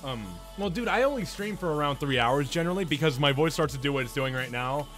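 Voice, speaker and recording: A man's voice: on the high side, expressive, fast talker, soft background music. Young male streamer losing his voice. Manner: rambling, restarts often, talks loud and a lot. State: throat strained, losing his voice. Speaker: game streamer. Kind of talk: casual chat with live chat viewers.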